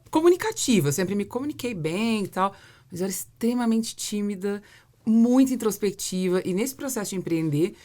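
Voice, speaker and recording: A woman's voice: mid-range voice, a little mellow. A Brazilian woman hosting a casual interview, explaining her thoughts at length and building points through repetition. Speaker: podcast host and business mentor.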